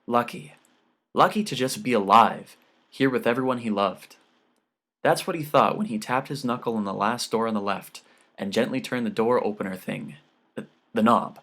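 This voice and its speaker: A man's voice: mid-range voice, normal speed, fairly bright tone. A man reading scripted fiction as an audiobook narrator.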